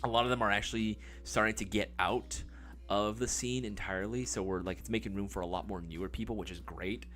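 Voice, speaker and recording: A man's voice: fairly low pitch, steady tone, brisk, a bit bright, faint music behind. A man hosting a live stream in casual chat, reading viewer comments, rambling with many fillers and casual swearing.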